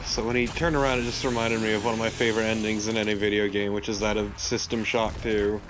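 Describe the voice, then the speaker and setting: A man's voice: fairly low pitch, flat delivery, quick pace. A man chatting casually as co-commentator on a video game playthrough. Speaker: let's play co-commentator. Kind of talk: casual chat. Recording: clear noise behind voice.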